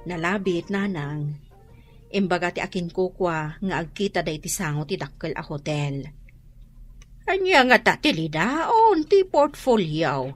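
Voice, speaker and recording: A woman's voice: lowish voice, big pitch swings, a little slow, faint music behind. A woman with a Filipino accent playing a complaining family member in an Ilocano radio melodrama. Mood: aggrieved. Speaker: drama actor. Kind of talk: Ilocano radio drama acting.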